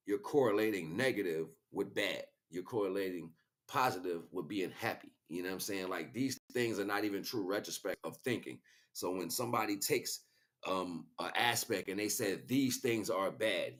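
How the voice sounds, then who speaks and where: A man's voice: low voice, fairly bright tone. A middle-aged man talking casually and conversationally on a livestream, rambling and often checking that listeners follow. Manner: conversational, frequent "you know what I'm saying" tags. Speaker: livestream host. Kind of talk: casual rambling spiritual talk to live chat.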